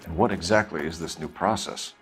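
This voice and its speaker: A man's voice: deep voice, fairly quick, fairly bright tone, faint music behind. A man playing a stern, insistent police detective with a formal manner in a 1940s video game drama. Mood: stern, probing.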